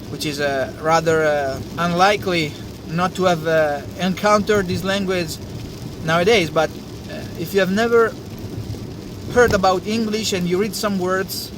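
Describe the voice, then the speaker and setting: A man's voice: high voice, noisy background. A young Italian man, a native Italian speaker, talking casually in English as host of a science channel, hesitant, with many fillers and restarts. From Italy. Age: young adult. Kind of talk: casual talk to camera.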